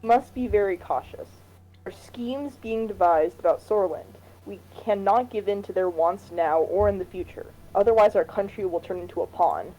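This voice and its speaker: A woman's voice: mid-range voice, moderate pace, dull audio, close to an everyday voice. A woman voicing a firm, cautious cabinet minister in a fan reading of a political game, formal and insistent. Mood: firm, cautioning. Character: firm, cautious female cabinet minister defending state planning. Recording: music behind the voice.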